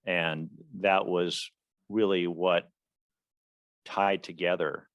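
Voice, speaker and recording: Deep, slow-speaking man, dry sound. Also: level tone, fairly bright tone, no room sound, muffled recording. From Washington, D.C. area, USA. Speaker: guest. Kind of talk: interview storytelling.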